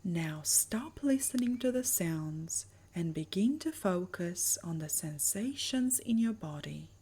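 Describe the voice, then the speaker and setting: A woman with a soft, breathy voice narrating a guided meditation in a soothing, measured manner. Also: on the low side, animated. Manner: soothing, measured, breathy delivery with lingering pauses.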